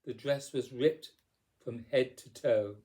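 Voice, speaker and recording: A man narrating storybook verse as a poetry reader, with theatrical, deliberate phrasing. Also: medium pitch, dark tone.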